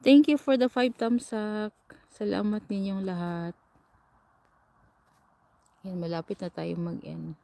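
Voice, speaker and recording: Slow-talking Filipina woman chatting on a livestream. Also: medium pitch, fairly even intonation, gravelly, warm tone. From Philippines. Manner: chatty, reads and answers viewer comments, laughs often. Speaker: livestream host. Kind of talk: casual livestream chat with viewers. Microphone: camera or phone mic set a short way off.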